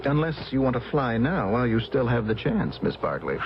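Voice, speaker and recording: A young heir of a gloomy family, wry and mercurial. His pitch swings widely with theatrical sarcasm. The radio drama recording is muffled, with clear noise behind him. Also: sing-song, moderate pace. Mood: sardonic. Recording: dull, noisy radio recording.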